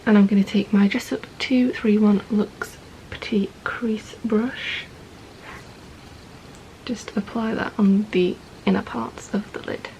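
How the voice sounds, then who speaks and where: A woman with a croaky, gravelly voice talks through a makeup look one step at a time. She sounds calm and methodical, and her pitch sits in the middle range. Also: takes it slowly, whispered, rough recording. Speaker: makeup vlogger.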